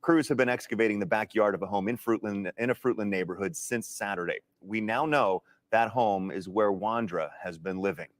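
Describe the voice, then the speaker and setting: A man's voice: fairly low pitch, fairly quick, few pauses, no echo. An American man reading the news as a television anchor.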